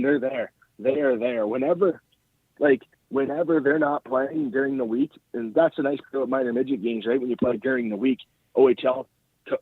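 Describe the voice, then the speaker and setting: An Ontario Canadian man talks sports casually as a call-in analyst. His voice is medium in pitch, and he is chatty and enthusiastic, tagging points with "right?". It comes over a dry, thin phone connection. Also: slightly rough, no room sound. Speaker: podcast host calling in as guest analyst. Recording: narrow phone-line call.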